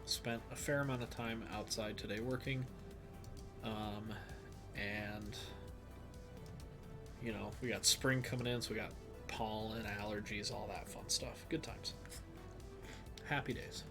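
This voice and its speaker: Slow-talking stuffed-up man streamer. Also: lowish voice, steady tone, gravelly, over music. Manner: slow, musing, thinks aloud with many fillers. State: stuffed up, sniffly. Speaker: streamer playing a geography game. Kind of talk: casual live-stream commentary.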